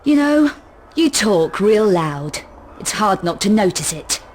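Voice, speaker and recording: A woman's voice: medium pitch, very animated, slow pace, a little hiss or hum. A woman playing a self-assured, threatening young adventurer in a video game, speaking in a cool, dry, sardonic way. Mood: cool, menacing. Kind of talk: video game cutscene voice acting.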